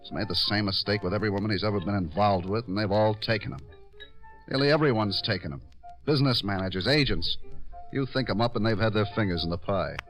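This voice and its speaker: Low-voiced, pleading American man. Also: a bit bright, soft background music, poor audio. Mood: agitated, defensive. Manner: earnest, halting, insistent. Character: earnest, pleading male writer-director, loyally defending his star. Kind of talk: 1950s radio drama acting.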